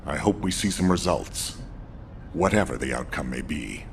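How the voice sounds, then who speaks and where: An actor playing a stern, wary middle-aged commander in a video game, measured and authoritative. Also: male voice, very deep voice, animated, slight background noise. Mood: commanding. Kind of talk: scripted video game acting.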